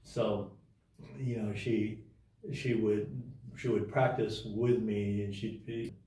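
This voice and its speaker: A man telling his life story as an interview guest in a casual, halting way, with many restarts and fillers. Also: lowish voice, little pitch movement, mellow, heavy reverb, distant mic, rough recording.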